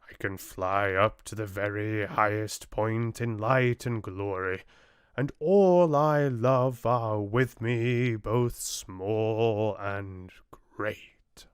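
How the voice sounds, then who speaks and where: A man voicing an ancient, awestruck oak tree in a fairy tale audiobook, with a grand, drawn-out delivery. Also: fairly low pitch, clear, resonant tone, dry recording, studio quality. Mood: exalted.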